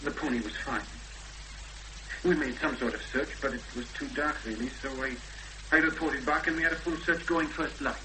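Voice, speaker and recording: An actor playing a cautious, courteous rural policeman with a Welsh accent and a halting official delivery in a 1970s radio drama. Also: mid-range voice, natural voice. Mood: halting, sombre. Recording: old radio recording, loud hum and noise.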